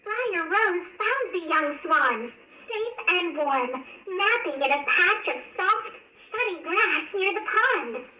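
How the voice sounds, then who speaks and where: A woman narrates a children's read-along with a high, bright voice. She is animated and expressive, telling the story at an unhurried pace. The recording sounds roomy and a little distant. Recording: roomy, distant, faint background noise.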